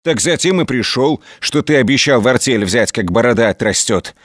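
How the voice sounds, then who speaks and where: A man voicing a meek, quiet young peasant looking for work in a folk tale, plain and mild. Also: medium pitch, lively intonation, moderate pace, no echo, clean studio sound. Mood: mild, earnest.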